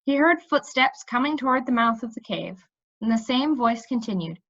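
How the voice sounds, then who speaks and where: Female storybook reader, bright and very lively in pitch, expressive delivery, slightly dull recording. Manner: animated, pausing to check in with listeners. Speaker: reader reading a children's book aloud. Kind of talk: expressive read-aloud storytelling.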